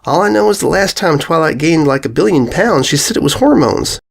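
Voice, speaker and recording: Storybook role: upbeat little girl pony, high and casual acted by a narrator. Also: lively intonation, brisk, gravelly. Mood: cheerful, matter-of-fact.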